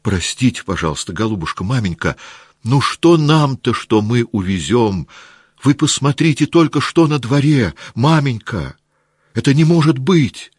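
A man voicing a passionate, indignant teenage girl who shouts and pleads. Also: deep voice, animated, takes it slowly, few pauses, breathy edge, dry recording, studio quality, full character voice. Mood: pleading outcry.

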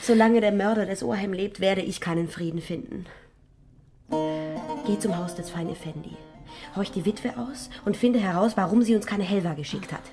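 An actress plays a wary young woman in mourning in a radio drama. She is secretive yet commanding, her creaky voice often close to a whisper, with faint music behind. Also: mid-range voice, normal speed, close to an everyday voice. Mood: fearful, insistent. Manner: secretive, often near whispering, then insistent. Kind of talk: German radio play acting.

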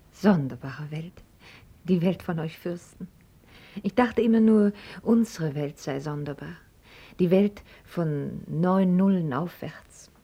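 An actress playing an outspoken young heiress in a radio drama, wry, articulate and playfully self-mocking. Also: female voice, lowish voice, slow pace, pauses often, slightly rough, no room sound, lo-fi sound, exaggerated voice. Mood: wry, amused. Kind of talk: German radio drama acting.

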